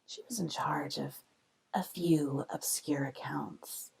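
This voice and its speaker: Low, gravelly woman mystery narrator. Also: steady tone, takes it slowly, a little mellow. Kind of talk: scripted radio mystery narration.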